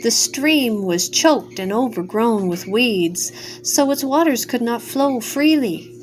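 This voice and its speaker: An older woman reading a bedtime story aloud with an expressive storytelling cadence. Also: on the high side, slow pace, slight background noise, rough recording.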